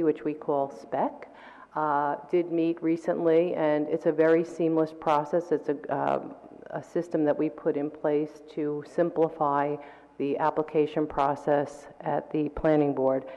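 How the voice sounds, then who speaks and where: A woman with a low, mellow voice and a sore throat reads her council reports in a measured, even tone, now and then clearing her throat. The sound is dull and carries a long reverb from the chamber. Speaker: council member.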